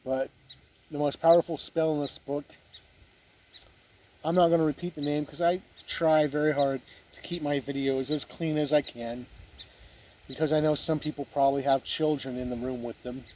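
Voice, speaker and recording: A man giving a casual, unscripted review as a video host, plain-spoken with halting phrasing, with wind on the recording. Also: on the high side, steady tone, darkish tone. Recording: wind noise on the mic.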